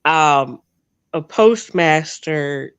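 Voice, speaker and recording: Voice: medium pitch, expressive, drawn-out words, a bit bright, clean tone, no room sound. An interview guest from New Jersey with a half-Northern, half-Southern American accent, telling rambling stories in a chatty way with frequent laughs. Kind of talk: casual storytelling chat.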